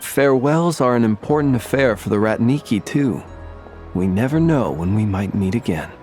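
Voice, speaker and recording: An actor playing a courteous, composed gentleman in a video game, speaking politely and precisely with stately formality. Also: mid-range voice, animated, normal speed, faint music behind, lightly acted. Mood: earnest. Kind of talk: video game cutscene voice acting.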